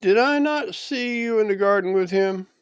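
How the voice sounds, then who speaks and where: A man voicing the accusing officers and servants of a high priest in a scripture reading, indignant, with a sharp, raised voice. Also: normal speed, smooth, no room sound, natural voice.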